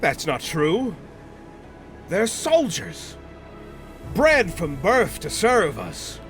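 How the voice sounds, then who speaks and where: Shrill, high-pitched arrogant master. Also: male voice, wildly swooping pitch, drawn-out words, a bit bright, soft background music, exaggerated voice. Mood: panicked disbelief. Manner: imperious, shrill, incredulous. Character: arrogant male master of bred soldiers, panicking. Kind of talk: anime-style audio roleplay acting.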